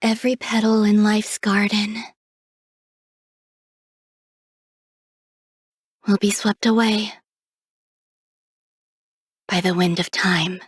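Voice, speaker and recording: A woman voicing a refined, coolly detached genius scientist in a video game, speaking in a composed, measured, poetic way. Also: medium pitch, flat delivery, slightly rough, no room sound, studio quality. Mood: cool, poised. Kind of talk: video game character voice lines.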